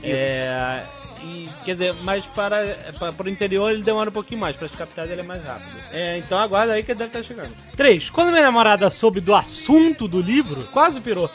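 Brazilian man, high voice, lively swooping intonation, jokey podcast co-host, exclamatory, casual chat, light music behind. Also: brisk, hardly any pauses. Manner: jokey, exclamatory, prone to laughing. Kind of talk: casual comedic podcast chat and listener email reading.

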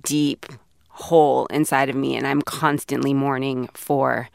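A podcast host asking questions in an interview, curious and quick to laugh. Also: medium pitch, little pitch movement, gravelly, smooth, dry recording, clean studio sound. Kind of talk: interview conversation.

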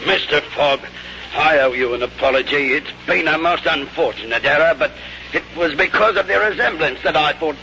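An actor in a 1950s radio drama playing an officious detective with a formal manner. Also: male voice, a little high, moderate pace, gravelly, sharp tone. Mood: sheepish, apologetic. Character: officious detective making an arrest. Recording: muffled old radio recording, faint noise.

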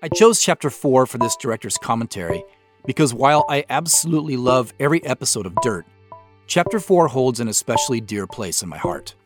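An American man hosting a scripted behind-the-scenes commentary in a measured, explanatory manner. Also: mid-range voice, animated, over loud music. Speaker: show creator and host.

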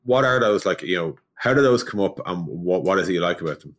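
A man hosting a casual podcast, asking questions in a relaxed way and thinking aloud with fillers. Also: deep voice, fast.

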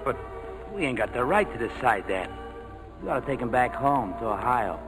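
An actor playing a rough, loyal American ex-airman in a 1940s radio drama, talking in slangy, streetwise fashion. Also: mid-range voice, big pitch swings, moderate pace, music in the background, lightly acted, poor audio. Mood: worried. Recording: vintage 1940s radio broadcast.

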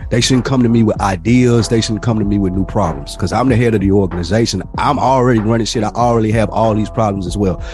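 A man co-hosting a casual podcast, talking in a slangy, animated storytelling way with some swearing. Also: fairly low pitch, quick pace, few pauses, faint music behind. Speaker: podcast co-host.

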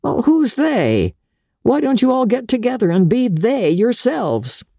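Weary young literary man, played by a female narrator: cynical, blunt, lively pitch, dry sound. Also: a little slow, creaky, no echo, full character voice. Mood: urging.

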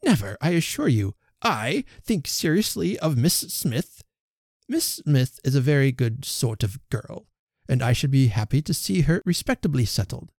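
Audiobook: vain, affronted young parson, as a male narrator puts him on, gushing then haughty, gravelly edge, dry sound. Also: medium pitch, sing-song, normal speed, warm tone, dry recording. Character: pompous, affronted young clergyman suitor.

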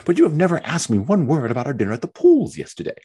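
A man's voice: on the high side, huge pitch swings, quick pace, warm tone, husky, voice pushed up, full character voice. A man putting on a whiny fretful young wife. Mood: complaining. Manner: whiny, complaining. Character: fretful self-pitying young married woman. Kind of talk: reading a novel aloud.

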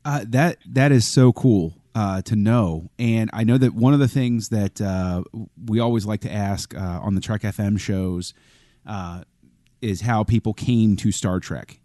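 A man's voice: fairly low pitch, measured pace, warm tone, no room sound, clean studio sound. A man co-hosting a podcast, asking a guest questions in a keen, friendly way. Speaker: podcast co-host. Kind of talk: casual interview talk.

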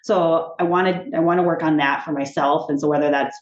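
A woman talking as a guest in a casual interview, animated and chatty, often laughing and restarting her sentences. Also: low voice, fairly quick. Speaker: TV executive, podcast guest. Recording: echoey room, dull sound.

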